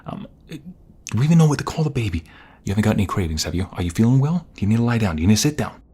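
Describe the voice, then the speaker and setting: Man acting a breathy, husky devoted husband. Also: lowish voice, very animated, warm tone. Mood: excited, fussing. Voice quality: breathy, husky, often dropping to whispers. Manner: halting, emotional, repeats himself. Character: tender, excitable husband overjoyed at impending fatherhood. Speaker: voice-over roleplay performer. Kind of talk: intimate ASMR audio roleplay.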